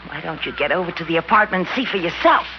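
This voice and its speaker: Sly, teasing radio-drama schemer; lively delivery, hissy old broadcast. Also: female voice, lowish voice, fast. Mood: scheming. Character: scheming woman luring a young man into a trap. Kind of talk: 1940s-50s radio drama acting. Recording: muffled vintage radio recording with hiss.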